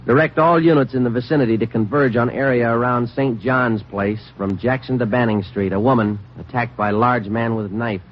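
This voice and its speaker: An American man acting a terse, weary police sergeant who narrates a vintage radio crime drama in a matter-of-fact deadpan. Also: medium pitch, moderate pace, hardly any pauses, a little hiss or hum, lightly acted. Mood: urgent, commanding. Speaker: lead actor and narrator. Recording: muffled old radio recording, music behind.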